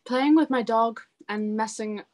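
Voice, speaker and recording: A woman's voice: on the high side, a little slow, a little mellow. A young woman talking casually and enthusiastically as an interview guest, in a chatty, informal way. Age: young adult. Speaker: interview guest, singer-songwriter. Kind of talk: casual chat.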